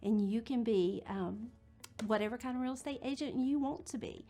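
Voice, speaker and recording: A woman's voice: a little high, normal speed, warm tone, faint music behind. A woman in her late forties, a real estate agent, telling her story in a chatty, candid way. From Georgia, USA. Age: 40s. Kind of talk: casual talk to camera.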